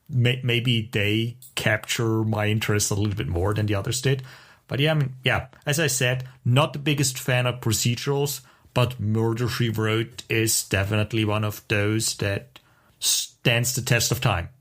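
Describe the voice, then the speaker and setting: A man co-hosting a podcast in casual chat, talking in a halting way as he thinks aloud. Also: lowish voice, a bit bright. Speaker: podcast co-host.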